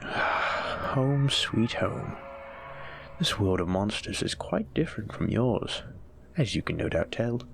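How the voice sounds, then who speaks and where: A sadistic male monster, voiced by a man, taunts his prey in an audio roleplay. His voice is gravelly and low, dropping to breathy whispers as he savors every word. A faint hiss sits under the recording. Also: very animated, a bit bright, heavily acted. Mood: menacing. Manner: teasing, menacing, slow and savoring.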